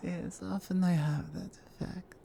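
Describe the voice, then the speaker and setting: Woman acting a slow, seductive Russian mafia villainess. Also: on the low side, fairly even intonation, creaky, warm tone, a little hiss or hum. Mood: teasing, confident. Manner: slow, deliberate, dominant and teasing. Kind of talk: intimate ASMR roleplay.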